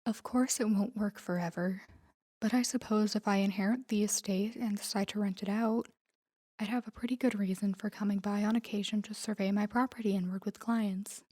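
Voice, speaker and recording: A woman playing a guarded, tender mafia boss in an intimate audio roleplay, speaking softly and breathily to a lover in a measured, confiding way. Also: mid-range voice, steady tone, close to an everyday voice. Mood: guarded, confessional. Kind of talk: intimate scripted audio roleplay addressed to the listener.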